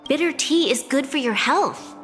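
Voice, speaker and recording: A woman's voice: high-pitched, expressive, a bit bright. Composed bossy seer lady, formal game dialogue, music behind, big reverb. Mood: composed, dry. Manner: formal, measured, dryly imperious. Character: composed, haughty young woman seer, formal and wise. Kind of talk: video game voice acting.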